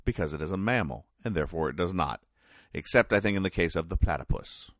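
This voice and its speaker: Male comedy podcast host, deep voice, halting deadpan explainer, close dry recording. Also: no echo. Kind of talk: comic commentary describing newspaper comic strips.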